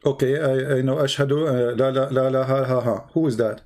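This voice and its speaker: A man's voice: medium pitch, steady tone, racing, a little mellow. A man hosting a heated call-in debate, mocking and pressing the same question again and again. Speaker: debate host.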